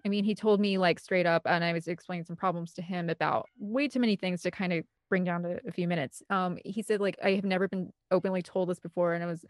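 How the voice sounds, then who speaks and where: Woman with a lowish voice, candidly storytelling. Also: no room sound, studio quality. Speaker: interview guest, film composer and director.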